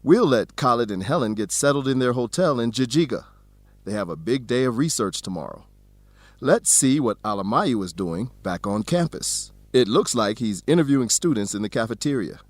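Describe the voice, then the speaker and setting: Casting: male narrator-host, medium pitch, clear scripted delivery for language learners, speaks straight to listeners, dry studio sound. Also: animated, normal speed, no room sound. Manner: clear, simple, addresses listeners directly.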